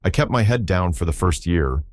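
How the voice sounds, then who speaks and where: A man's voice: low voice, expressive, normal speed, darkish tone, dry recording, clean studio sound. A man narrating a storybook voices a poised, guarded female communications manager with a clipped, clinical way of asking. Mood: soft.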